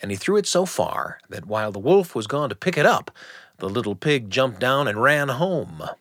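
Guy hosting a story show on radio, super expressive narration, bit wry, chatty, no room echo. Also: medium pitch, normal speed, clean studio sound. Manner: conversational, wry asides to the listener. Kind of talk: animated storytelling and scripted narration.

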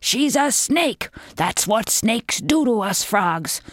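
A stern old-fashioned mother frog, loud and emphatic, as a woman puts her on. She scolds in slow, heavy words that come through dry and close. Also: a little high, lively intonation, no room sound, heavily acted. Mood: scolding.

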